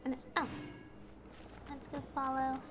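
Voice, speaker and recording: A woman's voice: high voice, monotone, takes it slowly, noisy background. A woman streaming a video game, reading dialogue aloud and chatting casually to viewers.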